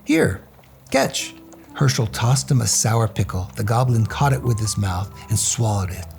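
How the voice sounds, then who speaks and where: A man telling a children's story aloud in an animated read-aloud style. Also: fairly low pitch, normal speed, soft background music.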